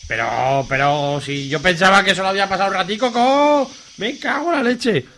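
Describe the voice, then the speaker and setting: A man with an Aragonese accent playing a drunk, excitable, foul-mouthed young man in a comic radio serial, slangy and blustering. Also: high-pitched, moderate pace, slight background noise, exaggerated voice. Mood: confused. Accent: Aragonese Spanish. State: acting drunk.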